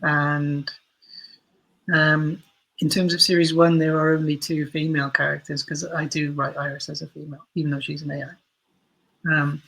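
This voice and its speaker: A man's voice: a little high, little pitch movement, normal speed, vocal fry, fairly bright tone, poor audio. A man being interviewed as an audio drama writer, talking reflectively and a little hesitantly as he thinks aloud.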